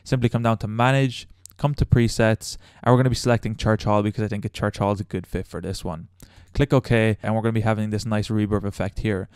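A man's voice: lowish voice, fairly even intonation, quick pace, a little mellow, clean tone, no room sound, clean studio sound. A young man hosting a casual step-by-step tutorial as a voice-over. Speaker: tutorial host.

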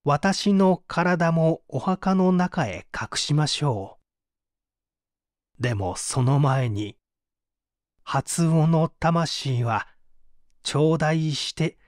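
Man voicing a genteel, coldly polite woman, very slow. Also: on the high side, animated, no echo, clean studio sound. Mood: cold, wistful. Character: composed, coldly polite woman's farewell letter.

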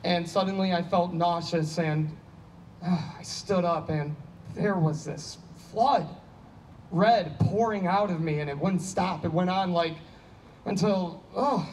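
A man's voice: sits high, unhurried, a little mellow. A man in his thirties in a staged play reading, playing a troubled, apologetic, self-doubting heavy drinker trying to stay sober. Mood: shaken. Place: stage before a painted block wall. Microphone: handheld microphone. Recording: distant stage pickup with ambient noise.

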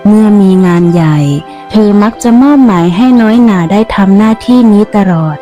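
A woman's voice: mid-range voice, normal speed, mellow, faint music behind. A woman reading a scripted ghost story as its narrator.

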